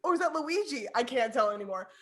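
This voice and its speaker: A young woman chatting casually on a podcast, her voice sitting a little high. She rambles through stories with plenty of fillers and breaks into giggles often. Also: animated, fast. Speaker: podcast co-host. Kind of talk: casual chat.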